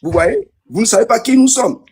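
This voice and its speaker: A man from Senegal talking excitably in a heated group discussion, with halting starts and restarts. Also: extremely high pitch, a crowd in the background.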